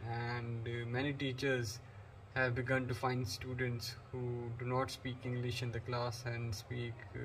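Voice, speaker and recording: A man's voice: mid-range voice, slight background noise, poor audio. A man from Pakistan giving an unscripted English lesson, rambling with many fillers and restarts. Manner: flat delivery. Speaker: English teacher. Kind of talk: unscripted lecture.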